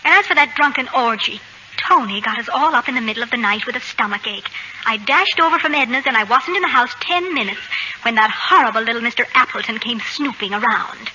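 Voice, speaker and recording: A spirited radio-comedy housewife, crisp and emphatic. Her voice is highish and very bright, on a dull old broadcast recording with light hiss. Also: lively intonation, a little fast. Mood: indignant. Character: spirited suburban housewife, quick to stand her ground. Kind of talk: 1940s American radio comedy acting.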